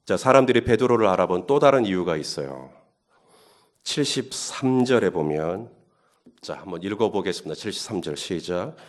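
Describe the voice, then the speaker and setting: A man's voice: low voice, expressive, moderate pace. Conversational male pastor preaching. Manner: conversational, building to emphatic questions. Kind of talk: sermon at early-morning Holy Week service.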